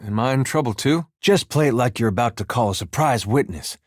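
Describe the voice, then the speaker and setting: An actor playing an easygoing, wry farmer turned war veteran adventurer in a video game, with a folksy, drawling, dryly humorous delivery. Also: male voice, mid-range voice, expressive, brisk, no echo, clean studio sound, close to an everyday voice. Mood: teasing, easygoing. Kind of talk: video game character dialogue.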